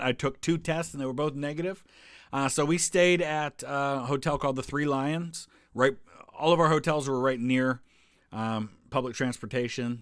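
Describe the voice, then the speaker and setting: A man's voice: medium pitch, a bit bright, dry recording. A man talking casually to a live chat audience, rambling from one point to the next with plenty of ums and restarts. His voice sits in a middle register and is recorded close and dry, with no room sound. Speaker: history channel host answering live chat. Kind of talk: casual live stream chat over travel photos.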